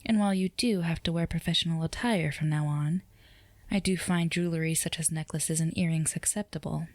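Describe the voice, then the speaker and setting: A dominant, flirtatious female boss, acted by a woman in a roleplay ASMR drama. Her voice is low and soft, dipping into whispers, and recorded very dry and close. She sounds teasing, self-assured and used to being obeyed. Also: fairly even intonation, brisk, dry recording, close to an everyday voice. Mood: pleased, composed.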